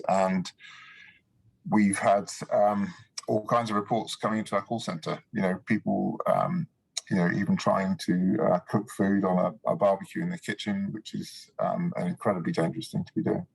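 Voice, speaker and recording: A man speaking in a news interview as a spokesman, in a halting, measured way. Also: deep voice, creaky, slightly rough, rough recording. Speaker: support service spokesman.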